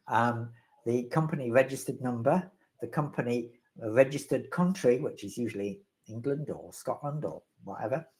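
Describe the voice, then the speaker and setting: A man answering questions as the resident expert in a live webinar, rambling and wry, with self-deprecating humor. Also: medium pitch.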